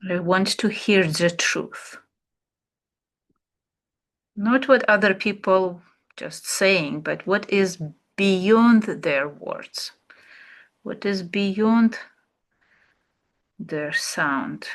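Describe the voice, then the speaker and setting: A woman, an English speaker, teaching an art therapy class, speaking slowly and reflectively with fillers and pauses. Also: mid-range voice. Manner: slow, searching, with fillers and pauses. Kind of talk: relaxed reflective class talk.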